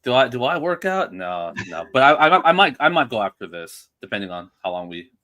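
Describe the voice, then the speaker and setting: A man's voice: medium pitch, big pitch swings, brisk, bright, forceful tone. A man joining a livestream as a co-host, chatting casually in an easygoing way.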